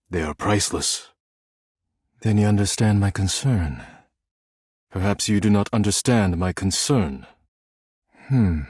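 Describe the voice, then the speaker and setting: Proud dishware caretaker, video game character, very husky, dry close sound, solemn, curt, formal, stern. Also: male voice, lowish voice, darkish tone, dry recording. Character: stern, proud, duty-bound living dishware caretaker, male.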